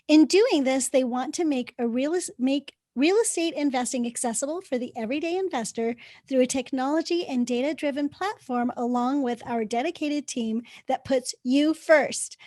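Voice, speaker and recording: High-voiced, enthusiastic woman host. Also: darkish tone, dry recording, clean studio sound. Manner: enthusiastic, laughs easily. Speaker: podcast host. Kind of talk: reading a guest bio, then casual interview chat.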